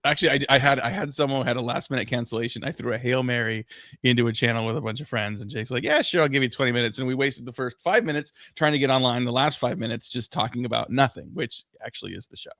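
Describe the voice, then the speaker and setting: A middle-aged man hosting a casual livestream chat, loud and booming, joking and self-deprecating, with many restarts. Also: fast, no echo, clean studio sound. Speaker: podcast host. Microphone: not using the desk mic.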